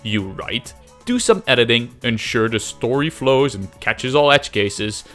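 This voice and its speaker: A man's voice: medium pitch, unhurried, a bit bright, faint music behind. A man narrating a scripted, comedic game development video with self-deprecating, exaggerated emphasis and some swearing. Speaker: host, amateur game developer narrating a devlog.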